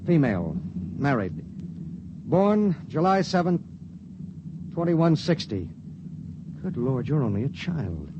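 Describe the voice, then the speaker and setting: Grim radio-drama spaceship pilot, slightly high. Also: male voice. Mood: clipped, firm. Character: grim, conscience-torn young male spaceship dispatch pilot. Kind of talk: 1950s radio drama acting. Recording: noisy, dull 1950s radio recording.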